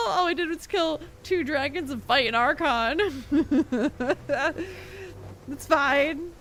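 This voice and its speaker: A woman's voice: high-pitched, fairly bright tone, a little hiss or hum. A playful, giggly woman giving casual commentary as she streams a video game playthrough. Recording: game music behind the voice.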